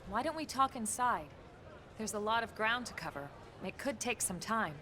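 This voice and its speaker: An actress playing a calm, businesslike military officer with a matter-of-fact manner in a video game. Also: female voice, on the high side, normal speed, slight background noise. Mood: composed. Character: composed, businesslike female military officer, quietly confident. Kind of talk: video game cutscene voice acting.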